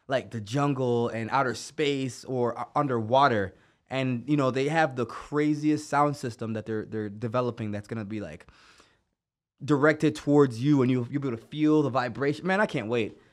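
A man hosting a casual podcast, enthusiastic and animated as he tells stories. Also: medium pitch, normal speed. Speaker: podcast host.

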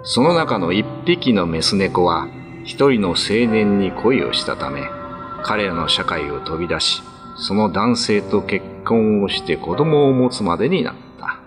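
Male ghost-story narrator with expressive range. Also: sits low, a little slow, over music.

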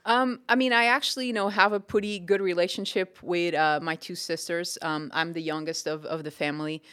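A young woman from Portugal speaks, answering a podcast host's questions about her tennis life. Her voice is smooth and clear, her intonation fairly level, and she pauses often with ums as she gathers her thoughts. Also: lowish voice, fairly even intonation, a bit bright. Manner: thoughtful, many ums and restarts. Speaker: tennis coach. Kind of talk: casual interview answers.

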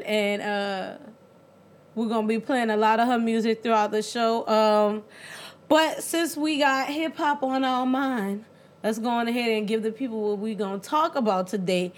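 A woman hosting a casual chat show, playful and teasing as she hypes the episode. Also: a little high. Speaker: podcast host.

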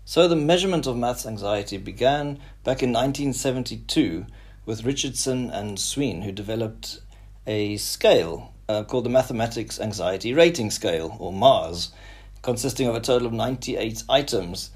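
A man's voice: medium pitch, animated, moderate pace, husky. A man hosting a podcast, moving between scripted narration and informal interviewing. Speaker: podcast host.

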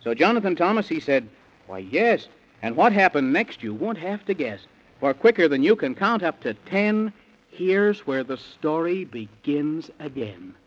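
A man's voice: on the high side, very animated, measured pace. A man narrating a rhyming children's bedtime story in theatrical, sing-song 1930s radio style, on an old recording. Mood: dramatic storytelling. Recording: dull, band-limited 1930s radio recording.

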